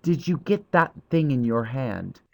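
Guy doing keen schoolboy from a storybook, a bit pompous, voice pitched up. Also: very animated, measured pace, creaky, a little mellow. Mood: eager. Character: Cyril, eager, earnest, slightly pompous schoolboy. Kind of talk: audiobook character voice.

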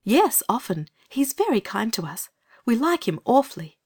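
A man voicing a kind, earnest older girl in a storybook reading, polite and gently persuasive. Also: extremely high pitch, very animated, moderate pace, slightly rough, pitched above the performer's own voice, heavily acted.

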